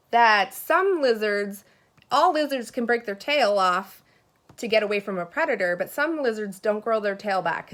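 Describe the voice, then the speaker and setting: A woman teacher reading a children's picture book aloud expressively, pausing to put questions and asides to her young listeners. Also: fairly high pitch, a bit bright.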